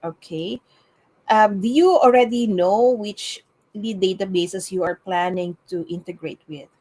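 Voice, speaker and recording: A woman hosting a live interview, asking brisk questions and quickly acknowledging the answers. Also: mid-range voice, a little mellow, rough recording. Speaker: interviewer, channel host.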